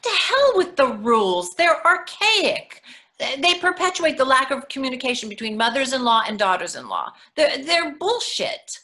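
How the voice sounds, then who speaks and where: A woman's voice: high voice, big pitch swings, normal speed, bright, forceful tone, heavily acted. A woman acting an anxious, clingy mother-in-law in a readers theatre play, stammering and pleading as she speaks. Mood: indignant.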